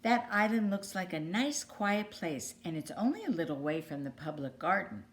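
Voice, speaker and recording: A woman's voice: on the low side, animated, moderate pace, a little hiss or hum, natural voice. A woman plays a male mallard from a children's storybook. He is an eager, agreeable husband, courteous and upbeat in how he talks. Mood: delighted.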